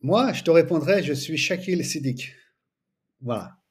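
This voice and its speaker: A man in his forties from France, a teacher telling his story as a guest in a relaxed interview, pausing often with fillers. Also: a little high, breathy edge. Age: 40s. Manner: hesitant, frequent pauses and fillers. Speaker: guest, Islamic studies teacher. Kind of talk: casual interview chat.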